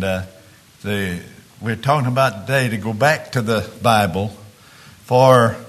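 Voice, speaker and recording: A man preaches in a hoarse, raspy voice. He talks plainly, middle-aged, leaning on everyday examples and often restarting his sentences. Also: lowish voice. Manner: plain-spoken, homespun examples, frequent restarts. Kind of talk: sermon.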